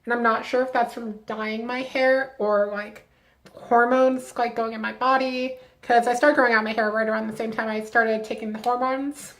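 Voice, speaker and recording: Young woman, slightly high-pitched, casual vlog chat, filler-heavy, upbeat advice. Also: little pitch movement, moderate pace, a bit bright, low-quality recording. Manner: chatty, lots of "like" fillers, upbeat advice-giving. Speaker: vlogger.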